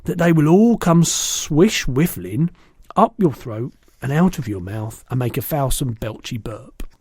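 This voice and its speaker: A man's voice: mid-range voice, animated, measured pace, darkish tone, breathy edge, dry recording, studio quality. A man voicing a big, friendly old giant in a storybook, exuberant and indignant, exclaiming emphatically in muddled words and grammar. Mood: explaining.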